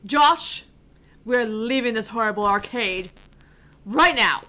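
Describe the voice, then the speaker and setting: Casting: snobbish angry mother, played by a woman, sharp and forceful, highish, drawn-out scorn, game dialogue. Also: lively intonation, full character voice, rough recording. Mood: haughty, outraged.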